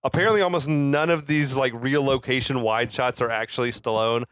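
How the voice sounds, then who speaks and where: A male podcast co-host with a clear, smooth voice and a medium pitch. He talks in loose, slangy, swear-laden storytelling, recorded close and dry. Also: steady tone, fairly quick, fairly bright tone, no echo. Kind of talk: casual film podcast chat.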